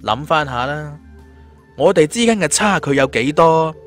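A man's voice: a little high, lively intonation, moderate pace, voice pushed up, lightly acted. A man with a Cantonese accent voicing a confident, cunning young martial-arts hero in a story, taunting and glib. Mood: cool, taunting. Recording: music under the voice.

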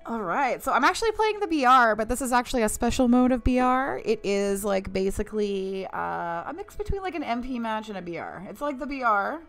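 A woman's voice: on the high side, sing-song, a little fast, hardly any pauses, fairly bright tone. A young woman streaming a mobile shooter, chatting casually with viewers and laughing often. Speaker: game streamer. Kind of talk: casual live-stream chat while playing.

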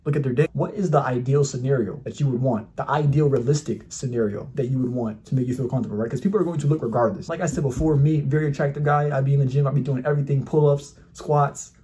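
A man's voice: medium pitch, steady tone, quick pace, hardly any pauses, dark tone. A young man in his twenties giving brash, boastful, mocking commentary in casual talk, filmed in a small indoor room. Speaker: commentary YouTuber.

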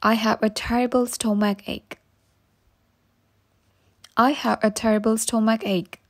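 A woman with a fairly high voice reads practice sentences for English learners. She speaks very slowly and carefully and says every phrase twice so listeners can repeat it. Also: level tone. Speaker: English teacher.

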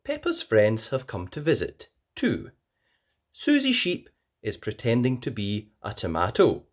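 A woman reading a children's story aloud with expressive, singsong emphasis for young listeners. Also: rumbling low, unhurried.

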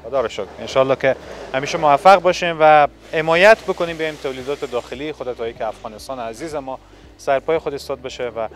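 A male TV reporter at a medium pitch. He is interviewing people on location, holding a microphone in a big indoor factory hall. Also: slight background noise. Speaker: television reporter. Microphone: handheld reporter microphone.

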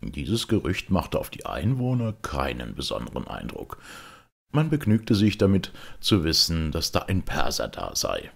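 A male audiobook narrator reads scripted literature expressively. His voice is low and creaky, with a husky edge and lively, wide-ranging intonation. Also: a little slow. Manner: expressive storytelling.

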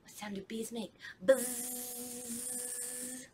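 A woman's voice: on the high side, level tone, drawn-out words, darkish tone, a little hiss or hum. A young woman, a children's librarian, leading a storytime with songs, talking to kids at home in a sing-song way in a library children's room.

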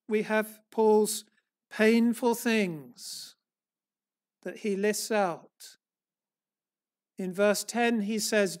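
A middle-aged man, a church elder, preaching a sermon from a lectern in a small church hall, earnest and measured, rising and falling in force. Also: high voice, a little mellow. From England. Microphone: far camera, standing at a lectern.

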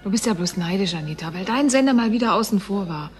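Eine Schauspielerin als schwärmerische junge Studentin, mittlere Stimmlage. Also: female voice, medium pitch, expressive, quick pace, faint music behind, close to an everyday voice. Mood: admiring, defensive. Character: admiring, easily impressed young female law student. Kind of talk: naturalistic German radio play dialogue.

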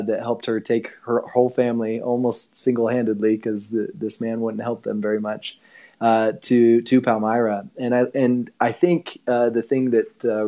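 A man's voice: medium pitch, mellow. A man co-hosting a casual discussion, talking reflectively and hesitantly with many fillers. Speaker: podcast co-host.

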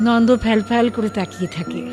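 A woman's voice: on the high side, fast, darkish tone. A woman reading scripted story narration for a dramatized audio book. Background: background music.

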